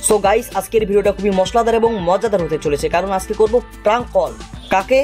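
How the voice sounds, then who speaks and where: A man's voice: sits high, fast talker, darkish tone. A man from Bengal, speaking casually as a prank-call host in a politely deferential way. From North Bengal, India. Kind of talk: casual vlog talk and prank phone call. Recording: music behind the voice. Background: background music.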